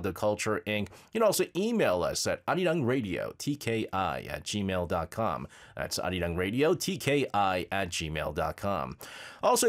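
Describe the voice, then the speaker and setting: Lively, slightly nasal male radio host. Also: mid-range voice. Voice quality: a bit nasal. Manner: energetic, bouncy delivery with many fillers. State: has a slight cold, drowsy. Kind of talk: upbeat radio show announcements.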